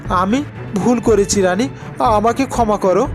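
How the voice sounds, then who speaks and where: An authoritative storybook king acted out by a male narrator, stern and high in pitch. Music plays behind the voice. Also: measured pace. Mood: remorseful.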